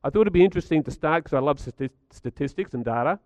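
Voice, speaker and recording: A middle-aged man with a croaky voice, giving a chatty talk to a live audience and asking them questions. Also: a little high, lively intonation, quick pace, a little mellow, no room sound. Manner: chatty, stats-driven, asks the audience questions. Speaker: speaker at a community gathering.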